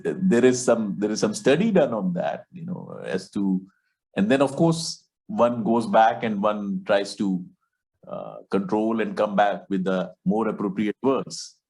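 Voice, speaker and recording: Low-voiced man, thoughtful and halting. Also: gravelly, a little mellow, slightly rough. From India. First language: Punjabi. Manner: thoughtful, hesitant, many fillers and restarts. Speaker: support group host. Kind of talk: online meeting discussion. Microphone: video call.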